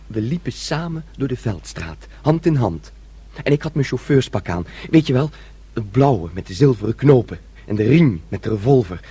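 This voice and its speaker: A man acting in a radio drama as a naive, childlike son who dreams aloud in a rambling, excitable way. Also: medium pitch, normal speed, slightly rough. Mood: dreamy storytelling.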